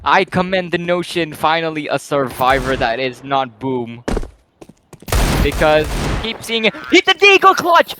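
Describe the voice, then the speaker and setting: Male game caster, high voice, slightly croaky, excitable rambling delivery. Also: unhurried, background noise. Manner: excitable, rambling, filler-heavy play-by-play. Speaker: esports caster. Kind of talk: live play-by-play game commentary.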